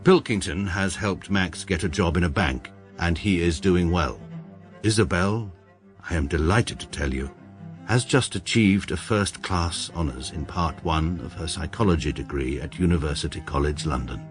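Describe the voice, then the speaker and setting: A low-voiced, pompous English barrister, voiced by a man, narrates in a formal, pedantic, deadpan manner. He sounds middle-aged and utterly certain of his own logic. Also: moderate pace, faint music behind, close to an everyday voice. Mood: dry. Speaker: narrator and lead character. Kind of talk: radio drama narration.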